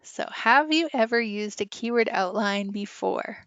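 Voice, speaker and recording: A woman's voice: mid-range voice, unhurried, fairly bright tone, clean tone, dry recording. A woman teaching a casual, interactive online writing lesson, chatty and full of questions for her students. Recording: dull, lo-fi online class audio.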